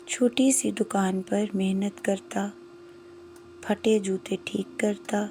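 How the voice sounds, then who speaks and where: A woman narrating a scripted moral story in short, measured phrases with pauses. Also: medium pitch, fairly even intonation, dark tone, slight background noise.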